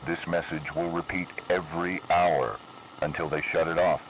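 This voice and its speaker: A man voicing a cold, menacing emergency broadcast announcer in slow, measured, ominous narration. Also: sits low, fairly even intonation. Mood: official. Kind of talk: scripted analog horror narration. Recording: noisy analog video recording.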